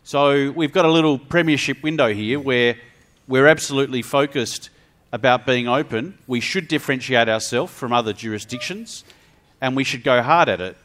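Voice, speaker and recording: A man's voice: mid-range voice, moderate pace, fairly bright tone. A man on a panel gives an earnest answer, repeating words and restarting.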